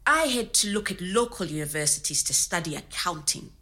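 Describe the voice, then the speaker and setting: A woman's voice: fairly low pitch, expressive, a little fast, sharp tone, heavily acted. An actress playing a resourceful, candid woman recounting her working life in a conversational storytelling style. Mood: matter-of-fact. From Zimbabwe. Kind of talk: scripted oral-history storytelling in conversational manner.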